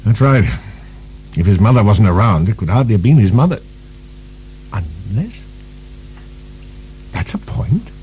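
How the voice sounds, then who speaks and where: A man's voice: fairly low pitch, sing-song, a little slow, pauses often, poor audio. An actor playing an earnest, fanciful male asylum director in a radio drama, musing and hesitant as he searches for words. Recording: soft recording with faint hum.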